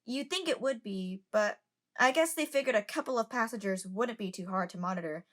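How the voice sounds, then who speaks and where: A woman's voice: fairly high pitch, fairly quick, close to an everyday voice. A woman in an amateur audio drama playing a curious, outspoken young passenger who chats and lectures. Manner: chatty, lecturing.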